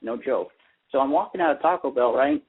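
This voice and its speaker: A man's voice: mid-range voice, lively intonation, a little fast, slightly rough. An American man chatting casually on a radio show, talkative and opinionated, rambling with emphatic points, heard over a phone line. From United States. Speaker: guest commentator, soap opera fan.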